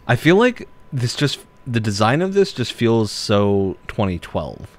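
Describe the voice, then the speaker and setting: A man's voice: mid-range voice, a little slow. A man giving casual, critical commentary while streaming a video game. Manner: critical, complaining. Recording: steady background game noise. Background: video game sounds.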